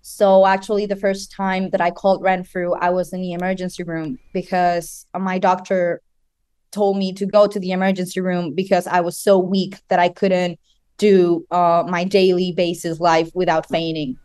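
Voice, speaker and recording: A woman's voice: on the low side, flat delivery, moderate pace, darkish tone, clear, resonant tone, no echo. Guest, woman in her twenties from Chile, earnest, some restarts, clean dry close read. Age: 20s. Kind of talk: interview.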